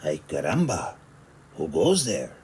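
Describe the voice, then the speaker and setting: A man voicing a pompous kitten posing as a bold Chihuahua hero, softly, with a Spanish accent. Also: a bass voice, wildly swooping pitch, slight background noise, voice pushed down, full character voice. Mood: heroic. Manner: pompous, soft, slow.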